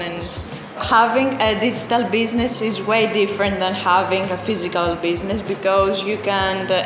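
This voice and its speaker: A woman in her twenties, introducing herself in an interview and pausing often with fillers. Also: mid-range voice. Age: 20s. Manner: hesitant, many fillers. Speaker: co-founder of a non-profit. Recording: echoey hall recording. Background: background music.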